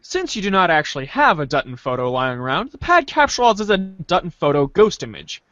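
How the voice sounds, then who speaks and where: Male narrator, high-pitched and wildly animated, playful dramatic reading, dry muffled recording. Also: a little fast, dry recording. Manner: theatrical, playful. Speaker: podcast narrator reading a webcomic aloud.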